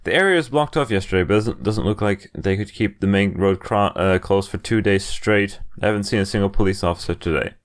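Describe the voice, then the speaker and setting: A man's voice: fairly low pitch, a little fast, few pauses. A man hosting a game playthrough, reading visual novel narration aloud and breaking off for casual commentary. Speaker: video game playthrough host.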